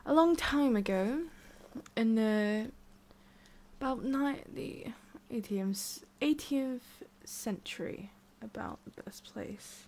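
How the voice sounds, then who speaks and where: Haughty forest witch, played by a woman, ASMR roleplay. Soft-spoken, halting, condescending, with whispery breaths and a hidden tender streak. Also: fairly high pitch, slight background noise. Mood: wistful, halting. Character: haughty, prickly tsundere forest witch, secretly soft-hearted.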